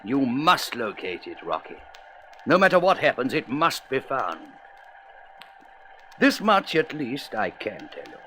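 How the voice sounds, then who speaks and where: A man's voice: mid-range voice, huge pitch swings, unhurried, pauses often, bright, forceful tone, slight background noise, exaggerated voice, rough recording. A man playing a courteous, grave president of a space council in a radio serial, formal and emphatic. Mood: grave urgency. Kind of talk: vintage science-fiction radio serial acting.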